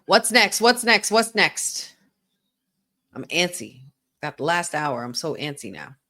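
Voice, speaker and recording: A woman co-hosting a wrestling watch-along, talking in an excitable, chatty fan style with lots of "like". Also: lowish voice, a little slow. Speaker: podcast co-host. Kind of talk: casual watch-along commentary.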